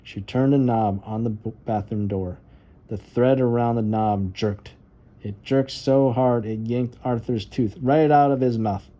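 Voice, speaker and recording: Very mellow, soft-toned man reading a storybook. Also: medium pitch, steady tone, measured pace. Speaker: storybook reader. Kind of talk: children's read-aloud narration.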